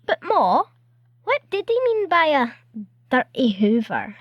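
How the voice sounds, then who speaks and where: A woman's voice: sits high, very animated, unhurried, no room sound, dull audio. An actress playing a trusting, inquisitive little girl with a Glaswegian accent and a childlike, plaintive way of asking, in an audio drama. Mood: puzzled. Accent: Glaswegian Scottish.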